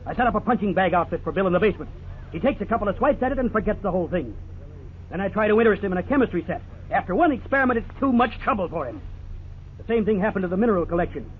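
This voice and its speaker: A man's voice: sits high, expressive, very fast. An actor playing a harsh, snobbish, domineering husband and father in a 1950s radio drama. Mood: scornful, angry. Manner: domineering, scornful, clipped orders. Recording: scratchy, tinny 1950s radio recording.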